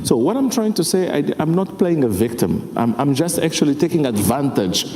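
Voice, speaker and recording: Guy on a panel, warm low-key voice, big echoey hall, keeps asking do you get me. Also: very animated, fairly quick. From Mozambique. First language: Portuguese. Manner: passionate, keeps asking if listeners follow. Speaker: panelist and jazz musician. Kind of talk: panel discussion. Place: large conference hall stage. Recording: echoey hall with faint ambience.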